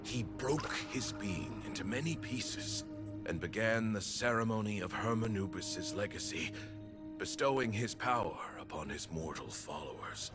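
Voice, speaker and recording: A man's voice: lowish voice, wildly swooping pitch, unhurried, loud music behind the voice. A man playing a solemn old priest of an ancient desert temple, telling its history in a grave, ceremonial manner for a video game. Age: older. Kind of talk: dramatic scripted storytelling in a video game.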